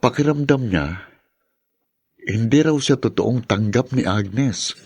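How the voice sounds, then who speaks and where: A man's voice: medium pitch, slow, gravelly, darkish tone, husky, dry recording, clean studio sound, full character voice. An actor playing a thoughtful male friend confiding in a Filipino radio drama. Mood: pensive.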